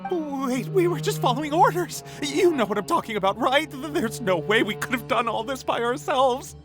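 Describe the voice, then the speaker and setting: A woman's voice: sits high, expressive, normal speed, over music. An actress playing a cowardly female henchman in a video game, frantic, pleading and stammering. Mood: panicked. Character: panicky, cowardly female henchman begging for mercy.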